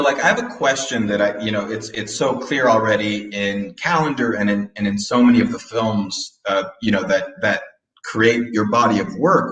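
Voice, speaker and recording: Male moderator, mid pitch, echoey room, meandering question. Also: fairly bright tone. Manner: rambling, hedging question. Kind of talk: interview question.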